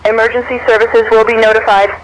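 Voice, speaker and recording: A woman's voice: fairly high pitch, level tone, quick pace. A synthetic woman's voice playing an unruffled air traffic controller, giving formal instructions over a radio. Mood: composed, formal.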